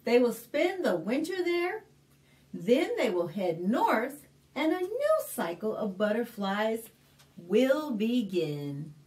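A middle-aged American woman hosting a storytime, reading a picture book aloud to young children in an expressive, deliberate way with simple explanations. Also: on the high side. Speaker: children's librarian and storytime host. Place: small indoor room, plain backdrop.

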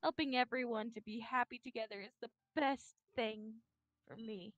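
A woman voicing a depressed, self-effacing teenage schoolgirl in a slow, sorrowful staged reading of a visual novel. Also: on the high side, dull audio, close to an everyday voice. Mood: hurt, pleading.

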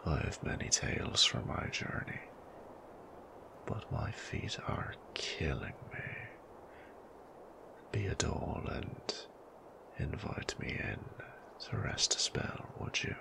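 A man voicing a sly ancient male vampire who teases and threatens in a slow, silky, creaky whisper for an ASMR audio roleplay. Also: very deep voice, sing-song, pitched below the performer's own voice, exaggerated voice. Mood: coaxing.

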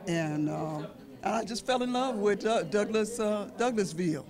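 A woman's voice: mid-range voice, measured pace, crowd noise. A woman in her seventies from Illinois, a veteran at a senior center, telling her story in a chatty way into a handheld mic. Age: 70s. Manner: chatty, with many fillers and restarts. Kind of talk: self-introduction. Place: senior center activity room. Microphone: handheld mic held by host.

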